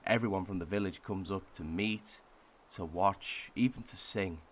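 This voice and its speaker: A man playing a wistful Welshman, delivering a pensive, measured monologue in a radio drama. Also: low-pitched, fairly bright tone. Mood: proud, reflective. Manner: pensive, measured, with thoughtful pauses. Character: wistful Welshman reflecting on his home village and heritage.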